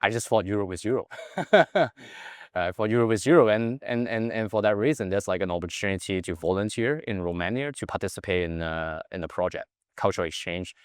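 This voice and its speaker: Low-voiced man, earnest. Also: expressive, brisk, clean tone, no echo, clean studio sound. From Hong Kong. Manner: earnest, keeps adding "right?" tags to check in with the listener. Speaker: guest, life coach. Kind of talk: reflective personal storytelling.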